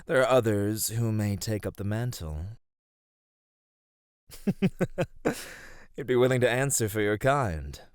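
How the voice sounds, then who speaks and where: Guy acting gentle flirty god of radiance, low voice, super slow n soft, formal asmr roleplay. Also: clear, resonant tone, dry recording, studio quality. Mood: courteous, earnest. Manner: soft, formal, tender speech.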